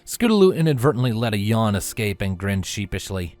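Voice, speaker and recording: A man narrates a story in a fairly low voice. His delivery is scripted storybook reading, with light music playing behind him. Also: big pitch swings, fairly quick, a little mellow.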